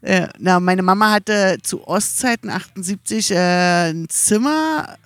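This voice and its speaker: A woman in her forties with a Berlin German accent talks casually as a podcast guest. She laughs easily and fills her pauses with hesitant fillers. Her voice sounds close and dry, at a middle pitch. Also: animated, no echo. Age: 40s. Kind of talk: casual outdoor chat. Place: outdoors in shade by a river at a music festival.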